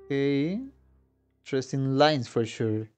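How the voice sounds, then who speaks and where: Slow-paced man reacting over music. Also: mid-range voice, darkish tone, clear, resonant tone. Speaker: reaction video host, musician. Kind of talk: casual reaction commentary. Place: home room with guitars. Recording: game music playing behind. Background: game trailer music.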